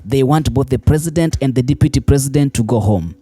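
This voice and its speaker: A man's voice: mid-range voice, fast, warm tone, breathy edge, no echo. A man with a Kenyan accent reading scripted online news commentary as a voice-over. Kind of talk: scripted narration. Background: faint music.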